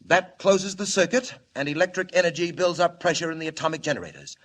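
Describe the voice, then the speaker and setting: An actor playing an earnest, urgent alien scientist in grave, theatrical 1940s radio style. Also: male voice, on the high side, fast, fairly bright tone. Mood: earnest, explaining. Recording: old 1940 radio recording, echoey in places.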